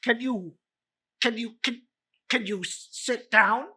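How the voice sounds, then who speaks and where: A woman's voice: fairly high pitch, takes it slowly, pushed and bright, breathy edge, voice pushed up, exaggerated voice. A woman voicing a stubborn, irritable old man who is rattled, stammering and blustering, in a dramatic storybook reading. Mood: nervous.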